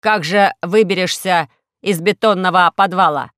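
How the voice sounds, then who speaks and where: A woman voicing a gloomy, melodramatic male former combat robot in a slow, mournful, drawn-out manner for a children's story. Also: on the low side, fairly bright tone, no echo, clean studio sound, close to an everyday voice. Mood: gloomy, resigned.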